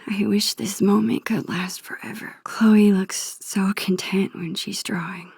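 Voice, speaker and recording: A gentle, worrying teenage girl in a video game monologue. Her voice is soft, husky and breathy, recorded very dry. She murmurs her thoughts to herself in a sweet, introspective, nostalgic way. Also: mid-range voice, fairly even intonation, measured pace, dry recording. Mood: gently nostalgic.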